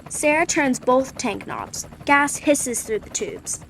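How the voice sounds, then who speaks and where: Steady woman narrating a radio play. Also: sits high, takes it slowly, gravelly, slight background noise. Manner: steady, descriptive. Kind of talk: scripted narration.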